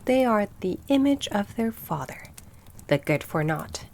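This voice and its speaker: A woman's voice: mid-range voice, very animated, unhurried, a little mellow. A woman voicing a fond, proud and bossy mother duck in a storybook, with a fussy, instructive manner. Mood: fond, chatty. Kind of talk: storybook character voice.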